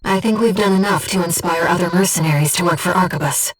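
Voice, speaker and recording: A woman playing a gentle, disembodied female mission operator in a video game, earnest and composed, with clearly enunciated tactical callouts. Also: lowish voice, fast talker, distant mic, close to an everyday voice, rough recording. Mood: composed, briefing. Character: gentle disembodied female voice guiding a mercenary pilot.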